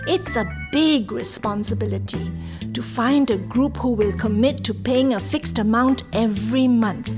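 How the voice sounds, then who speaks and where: A woman serves as narrator of a radio play, addressing listeners in a scripted storytelling style. Her voice is fairly high, and music plays clearly behind her. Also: measured pace.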